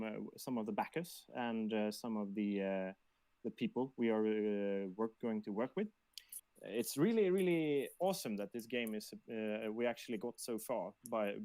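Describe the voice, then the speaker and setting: A man co-hosting a livestream in casual chat, speaking in a halting, hesitant way. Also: fairly low pitch, a little mellow, no echo. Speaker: livestream co-host monitoring the chat.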